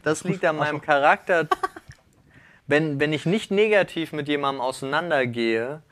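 A man co-hosting a casual podcast chat, easygoing and quick to laugh. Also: fairly high pitch, fairly bright tone, dry recording. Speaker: podcast co-host.

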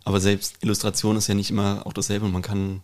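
A man with a fairly low voice co-hosts a casual conversation. He wanders through his thoughts with a wry, chatty manner. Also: completely flat delivery, fast, a little mellow. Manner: rambling, wry. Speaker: podcast co-host. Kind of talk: casual chat.

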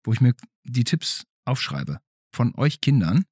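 A man with a slightly rough, husky voice hosts a casual interview in a playful way. His pitch moves around a lot, and the recording is close and dry. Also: big pitch swings, unhurried, darkish tone, dry recording. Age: adult. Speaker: podcast host.

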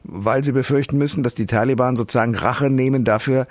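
Gravelly male radio host, dry studio sound. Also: mid-range voice, fast, no echo. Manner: conversational, asks follow-ups. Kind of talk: interviewing a guest.

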